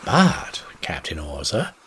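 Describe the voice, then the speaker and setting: A man voicing an earnest, loyal young gentleman sailor with an eager delivery, in a storybook reading. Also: very deep voice, huge pitch swings, takes it slowly, slight background noise, full character voice. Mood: excited, worried.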